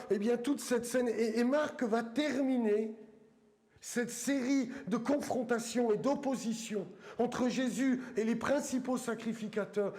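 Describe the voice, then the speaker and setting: A man's voice: high voice, a little mellow. A man from eastern France with an eastern French accent, preaching a sermon as a pastor in a didactic way, with conversational asides to the congregation. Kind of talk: sermon with scripture reading.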